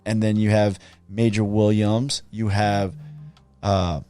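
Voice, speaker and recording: A man hosting a podcast interview, talking casually in a halting way, with many fillers and repeated words. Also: fairly low pitch, little pitch movement, warm tone, soft background music. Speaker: podcast host.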